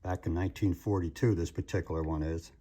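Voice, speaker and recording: A man's voice: deep voice, steady tone, fast talker, mellow. An older man with an American accent giving an informal, folksy show-and-tell narration as a host, explaining things as he goes. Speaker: host of a vintage collectibles show.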